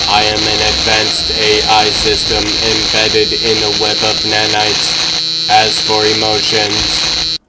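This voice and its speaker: A man's voice: on the low side, rough recording. A man voicing a cold, emotionless artificial intelligence in a comic dub, with clinical, formal and commanding speech.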